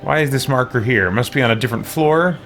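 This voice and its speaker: Male let's-player, average pitch, casual and dryly grumbling, talking to himself over soft game music. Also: fast. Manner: grumbling, wry, muttering to himself. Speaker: video game streamer playing and reacting. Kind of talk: casual let's play commentary.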